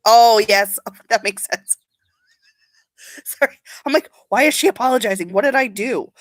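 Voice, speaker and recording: A woman's voice: fairly high pitch, a little slow, no echo. A young woman chatting casually on a livestream, sniffly from allergies and rambling as she answers comments. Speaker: beauty vlogger.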